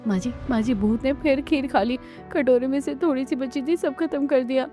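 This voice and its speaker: Excitable young daughter-in-law, acted by a woman. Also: high-pitched, moderate pace, faint music behind, lightly acted. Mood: alarmed. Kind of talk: cartoon family drama dialogue.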